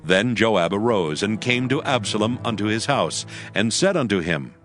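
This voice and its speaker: Low-pitched male scripture narrator over music. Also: expressive, normal speed, a bit bright. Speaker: Bible narrator.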